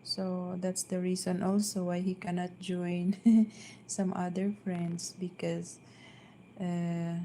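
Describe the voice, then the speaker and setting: Soft-spoken filipina lady chatting on a live stream at home, slow and flat, lots of ums, faint hum behind. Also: on the low side. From Philippines. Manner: hesitant, many fillers and restarts. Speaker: vlogger. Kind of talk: casual live-stream chat. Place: downstairs at home.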